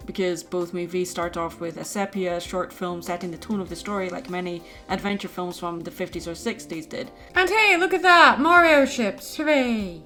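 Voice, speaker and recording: A woman giving opinionated film commentary as a video essayist, conversational with exclamatory asides. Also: mid-range voice.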